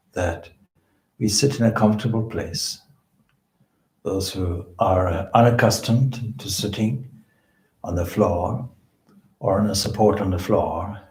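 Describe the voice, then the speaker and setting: A man leading a guided meditation as a spiritual teacher, speaking in measured short phrases with pauses between them. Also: low voice, a little mellow. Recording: echoey room.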